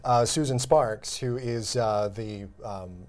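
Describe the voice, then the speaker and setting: A man's voice: medium pitch, slow. A man with an American accent co-hosting a news show, chatting casually and sometimes searching for his words. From southern United States. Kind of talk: casual co-anchor chat.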